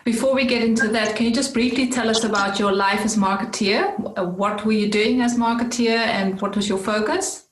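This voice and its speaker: A woman's voice: a little high, fairly even intonation, moderate pace, hardly any pauses, slight background noise, low-quality recording. A woman hosting an art podcast, reading a scripted introduction and then asking interview questions in an encouraging, enthusiastic way. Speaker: podcast host.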